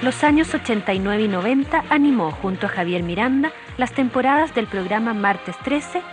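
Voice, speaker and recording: A woman's voice: a little high, animated, normal speed. Brief: female TV narrator, polished, music bed under voice. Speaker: TV reporter narrator. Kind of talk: scripted TV feature narration.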